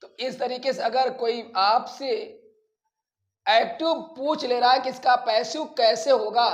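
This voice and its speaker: A man with an Indian accent teaching grammar, explaining rules in a didactic way and repeating key phrases deliberately. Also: very high voice. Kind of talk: classroom lecture explaining rules bilingually. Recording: roomy, echoing voice pickup.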